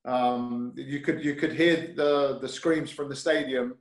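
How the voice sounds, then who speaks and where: London-accented male guest, easygoing and hesitant, mid-pitched, echoey room sound. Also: steady tone, measured pace. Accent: London English. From North London, UK. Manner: hesitant, many fillers and restarts, easygoing. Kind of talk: casual interview chat. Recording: roomy echo.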